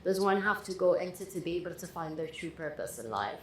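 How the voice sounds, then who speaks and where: A woman's voice: fairly low pitch, lively intonation, a little fast. A woman hosting an interview, asking curious, probing questions. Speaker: interviewer host. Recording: echoey room with faint noise.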